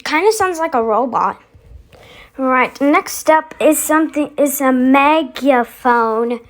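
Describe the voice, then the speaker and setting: A woman's voice: high voice, slow pace, a bit bright. A woman hosting casually, musing aloud as she announces each step of a voice effects demonstration. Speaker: video host.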